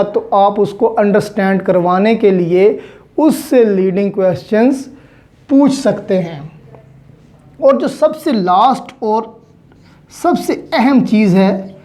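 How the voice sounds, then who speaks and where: A woman with a Pakistani accent delivers an explanatory lecture at a measured, slow pace. Her tone is mellow and relaxed, at a middling pitch. She is didactic, dropping in English terms and repeating what matters. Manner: didactic, repeats and rephrases key points. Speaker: law teacher.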